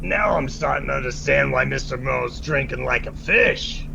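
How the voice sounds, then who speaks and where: A man's voice: mid-range voice, moderate pace, vocal fry, muffled recording, noisy background. A man playing a dry, organized tour manager in 1940s-style radio comedy.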